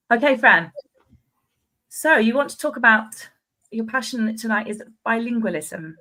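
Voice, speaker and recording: A woman hosting a live online talk show over a video call, thanking speakers and filling pauses with "uh" and "um". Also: on the high side, animated, poor audio. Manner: warm, lots of filler "uh" and "um". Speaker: podcast host.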